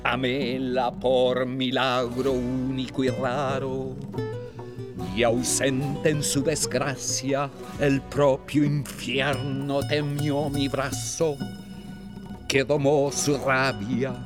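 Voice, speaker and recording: Male reciter, unhurried theatrical verse delivery, mid register, plucked guitar behind. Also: mid-range voice, flat delivery. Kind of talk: slow theatrical verse recitation and chapter announcement.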